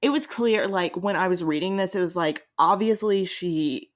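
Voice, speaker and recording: A woman's voice: fairly low pitch, normal speed. A woman hosting a podcast in casual, informal, emphatic conversation. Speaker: podcast host. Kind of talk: casual chat.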